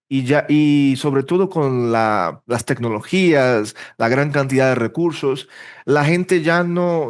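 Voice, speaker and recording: Brazilian man chatting, thinking aloud. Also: a little high. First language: Portuguese. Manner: rambling, self-correcting, thinks aloud with fillers. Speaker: interpreter and language teacher, podcast guest. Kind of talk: casual interview conversation.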